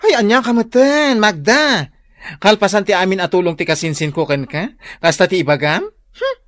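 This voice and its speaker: An actor plays a sassy, gossipy cousin in an Ilocano-accented radio drama. The voice swoops up and down with indignant exclamations and teasing. The pitch leaps about freely. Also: lively intonation, normal speed, no echo, close to an everyday voice. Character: gossipy, sassy cousin who takes the friend's side.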